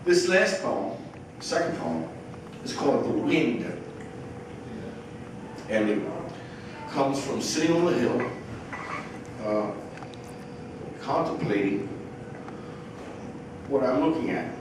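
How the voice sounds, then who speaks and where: A middle-aged man reads poetry slowly, with deliberate phrasing. He is heard from a distance in a very echoey room, with clear noise in the recording. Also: mid-range voice, big pitch swings. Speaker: poet. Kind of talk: poetry reading with brief spoken introduction.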